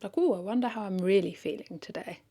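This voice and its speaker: A woman's voice: medium pitch, fast, creaky, dark tone, breathy edge. A woman talking casually in an unscripted vlog, rambling and correcting herself as she thinks aloud. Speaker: content creator, vlogger.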